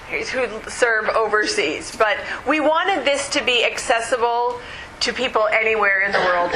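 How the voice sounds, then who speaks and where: Loud chatty woman presenter, echoey room. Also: a little high. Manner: loud, chatty, moves around while speaking. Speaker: conference presenter. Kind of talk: casual conference talk. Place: conference meeting room. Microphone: room microphone, not close.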